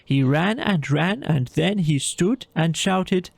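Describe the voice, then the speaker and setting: A man voicing a gentle, thoughtful bear who fancies himself a poet, speaking hesitantly in a storybook audio play. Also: on the high side, animated, takes it slowly, gravelly, slightly rough, no room sound, voice pushed up, full character voice. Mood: reciting proudly. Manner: hesitant, thoughtful, with small ums. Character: gentle, musing bear of little brain, amateur poet.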